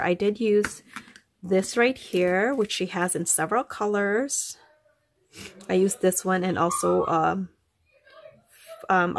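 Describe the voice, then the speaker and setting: A woman's voice: lowish voice, a little hiss or hum. A woman with a nasal, stuffy voice from allergies, chatting casually as a craft vlogger with many ums. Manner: rambling, many ums, warm and chatty to viewers. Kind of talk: casual show-and-tell chat.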